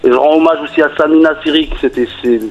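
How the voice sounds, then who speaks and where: A man from France calling in to a radio show, chatting casually and haltingly, repeating words as he searches. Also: a little high, steady tone. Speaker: radio phone-in caller. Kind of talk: casual live conversation. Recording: dull lo-fi call audio.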